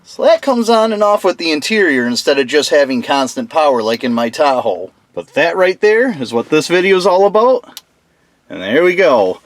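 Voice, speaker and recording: A man's voice: on the high side, big pitch swings, moderate pace. A man hosting a DIY build video, talking informally and folksily through a casual hands-on demonstration. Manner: informal, folksy, mild slang. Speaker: host of a DIY truck build channel.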